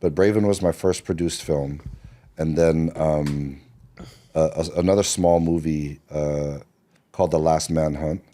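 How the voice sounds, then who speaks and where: Very deep, mellow-voiced man telling stories. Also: steady tone, unhurried. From Seattle, Washington. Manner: loose and rambling, many fillers and restarts. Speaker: podcast guest, screenwriter. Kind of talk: relaxed interview storytelling.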